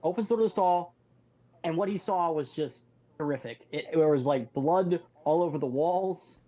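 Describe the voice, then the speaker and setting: A man's voice: fairly high pitch, normal speed, warm tone, highs cut off. A man in casual podcast banter, bluntly telling crude stories. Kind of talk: casual bantering chat and storytelling.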